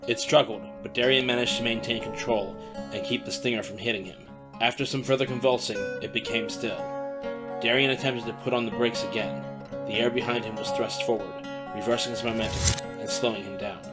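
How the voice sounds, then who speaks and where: A man narrating a fantasy audio drama in a steady, descriptive storytelling manner. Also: medium pitch, fairly quick, loud music behind the voice. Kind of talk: scripted narration.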